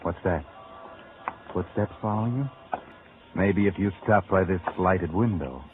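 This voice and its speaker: A man's voice: deep voice, measured pace, phone-quality sound, noisy background. An actor playing a sinister, all-knowing narrator who mockingly needles a guilty man in a 1940s radio suspense show. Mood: taunting, ominous. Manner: sardonic, needling, addresses the listener as the character. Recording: thin old radio recording, loud music behind.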